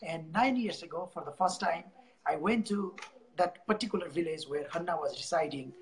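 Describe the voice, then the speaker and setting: Halting man with a Nepali accent. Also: on the high side, poor audio. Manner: halting, repeats words as he speaks. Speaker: charity founder. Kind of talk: telling his story in an interview.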